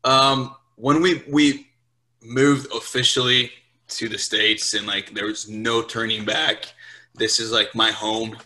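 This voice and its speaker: A man's voice: mid-range voice, little pitch movement, takes it slowly, pushed and bright, rough recording. A man, a Portuguese speaker, chatting informally in an interview. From Brazil, later Portugal, England and Louisiana. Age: 20s. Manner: informal, swearing, checks in with "right?". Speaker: podcast guest, US Marine sergeant. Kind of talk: casual interview chat.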